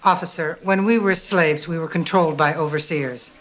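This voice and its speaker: A woman acts a proud alien businesswoman who leads her community, in television drama style. Her voice sits a little low, and she speaks formally, with pointed assertiveness. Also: moderate pace, slight background noise, close to an everyday voice, low-quality recording.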